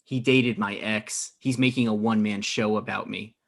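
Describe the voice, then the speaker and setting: A man's voice: fairly low pitch, steady tone, moderate pace, gravelly, a bit bright. A man playing a petty, defensive struggling writer in a comedy table read, with a hesitant manner.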